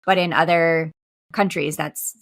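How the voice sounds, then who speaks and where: Woman, 40s, podcast co-host, low-ish voice, chatty storyteller who laughs easily. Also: fairly even intonation, unhurried, vocal fry. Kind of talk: casual chat.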